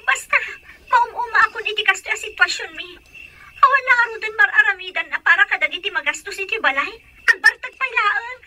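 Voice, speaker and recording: Fed-up wife: shrill, hard-edged voice, bitter, Ilocano-accented radio drama complaint. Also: female voice, fairly quick, pushed and bright, slight background noise, exaggerated voice. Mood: tearful exasperation.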